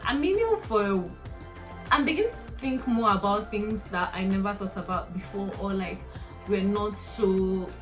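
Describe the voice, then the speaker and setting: Young Nigerian woman, mid-pitched, rambling chatty vlogger, often laughing; faint music underneath. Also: animated, normal speed. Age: 20s. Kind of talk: casual personal vlog.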